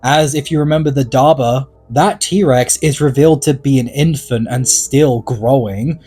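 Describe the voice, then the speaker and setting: A man's voice: medium pitch, measured pace, mellow, husky. A man narrating a scripted video essay in an earnest way, leaning on words for dramatic emphasis. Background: background music.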